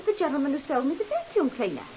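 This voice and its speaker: A woman's voice: high-pitched, huge pitch swings, quick pace. An actress playing a garrulous, deferential neighbour woman in a 1950s radio drama, rambling on eagerly. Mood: chatty, eager. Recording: hissy, muffled 1950s radio recording.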